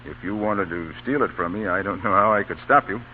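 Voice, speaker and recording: Man as a low-voiced Union colonel, vintage radio. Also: brisk, close to an everyday voice. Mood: grave sympathy. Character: Union colonel, stern yet kindly, fatherly soldier. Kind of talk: 1930s-1940s radio acting. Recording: tinny, hissy old radio broadcast.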